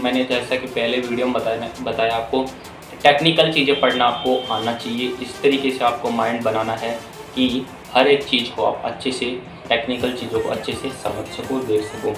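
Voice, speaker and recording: Male explainer host, mid-pitched, informal and instructional, roomy echo with music behind. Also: steady tone, moderate pace. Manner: instructional, Hindi mixed with many English terms. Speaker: video host explaining a career path. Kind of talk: informal explainer addressing viewers.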